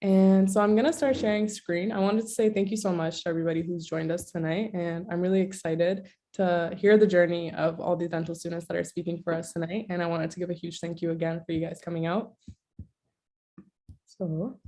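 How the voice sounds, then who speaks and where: A woman's voice: lowish voice, fairly even intonation, brisk, dark tone. A young woman from Toronto hosting a student dental webinar over a video call, friendly and a little scripted, with frequent "like" fillers. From Toronto, Canada. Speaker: webinar host, student club vice president.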